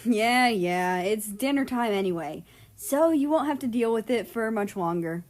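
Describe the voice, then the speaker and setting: A woman's voice: on the high side, animated, normal speed, darkish tone, natural voice. A woman voicing an affectionate, teasing younger brother with a folksy manner in a read-aloud story. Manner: folksy, ends phrases with eh.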